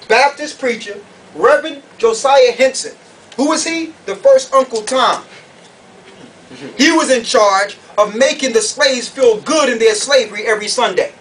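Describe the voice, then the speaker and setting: Guy preaching hard at a conference, high voice, confrontational, asks lots of rhetorical questions, mic kinda far away. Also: fairly bright tone. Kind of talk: fiery doctrinal sermon to an audience. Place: conference room or hall. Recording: distant mic, noisy room ambience.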